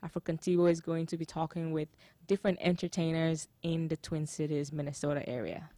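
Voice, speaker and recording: A woman's voice: deep voice, steady tone, brisk, mellow, no room sound. Chatty woman hosting a community show. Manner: upbeat, chatty, laughs often. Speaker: host. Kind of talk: casual interview.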